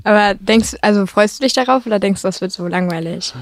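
A young woman chatting casually in a colloquial, youthful way, often softening what she says. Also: medium pitch, quick pace, no room sound, clean studio sound. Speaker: student. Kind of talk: casual chat.

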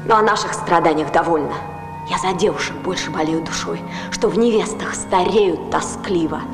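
A woman's voice: lowish voice, normal speed, lightly acted. An actress playing a bold, defiant ancient Greek woman rallying women against war, in declamatory, mocking verse. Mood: earnest, indignant. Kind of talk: theatrical verse drama acting. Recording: echoey hall with music behind.

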